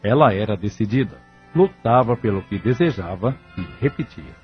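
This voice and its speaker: A fairly low male voice over music, slightly dull in sound. He is a Brazilian narrator reading a scripted audio drama. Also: lively intonation, normal speed.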